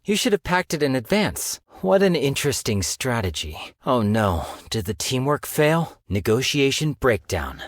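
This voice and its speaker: An actor playing a sly young male stockbroker in a game, polite and businesslike with a quiet menace. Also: medium pitch, big pitch swings, normal speed, hardly any pauses, no echo, studio quality. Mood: suave. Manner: suave, polite yet menacing, business jargon. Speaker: game character voice lines. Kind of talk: studio game voice acting. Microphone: close studio mic.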